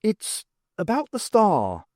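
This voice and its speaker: Shy, earnest young male student read by a man, audiobook character, raised pitch, swooping intonation. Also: huge pitch swings, slow pace, a little mellow, no echo, studio quality, exaggerated voice. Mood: earnest, nervous.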